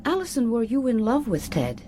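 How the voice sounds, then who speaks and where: A woman's voice: a little high, very animated, normal speed, warm tone, a little hiss or hum. An actress playing a probing policewoman questioning a suspect in a radio drama. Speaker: police interviewer.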